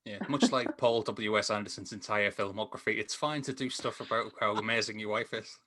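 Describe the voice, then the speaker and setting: A man's voice: fairly low pitch, fairly even intonation, fairly quick, pushed and bright, a little hiss or hum. A man chatting enthusiastically and at rambling length as a reviewer on a podcast recorded over a call. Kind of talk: casual film discussion.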